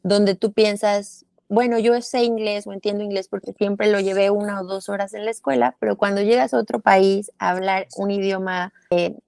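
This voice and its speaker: A woman's voice: mid-range voice, steady tone, moderate pace, creaky, hardly any pauses, a little mellow, smooth, dry recording, clean studio sound. A young woman with a Mexican accent, a guest talking through her answers in a casual interview, with many fillers and restarts. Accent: Mexican Spanish.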